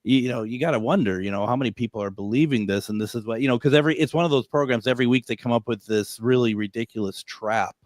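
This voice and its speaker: A man's voice: medium pitch, fast, hardly any pauses, no echo, studio quality. A man hosting a radio interview, chatty and often repeating words as he thinks aloud. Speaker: radio show host. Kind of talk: casual interview conversation.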